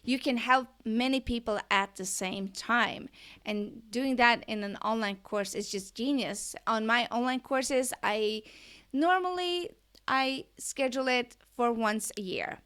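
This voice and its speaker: A woman's voice: on the high side, unhurried, a bit bright, clean tone. A woman from Norway with a Norwegian accent, talking as an online business coach in an eager, chatty podcast monologue that often asks the listener questions.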